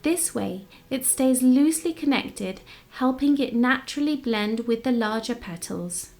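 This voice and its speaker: Woman, slightly high voice, scripted instructional narration, craft tutorial presenter, step-by-step delivery. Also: lively intonation, unhurried, gravelly, darkish tone.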